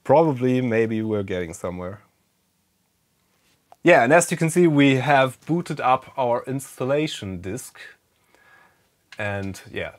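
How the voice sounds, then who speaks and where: A German man, native German speaker, hosting a casual retro computer walkthrough, thinking aloud with many fillers. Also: mid-range voice. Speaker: retro computing video host. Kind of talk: casual hands-on tech walkthrough.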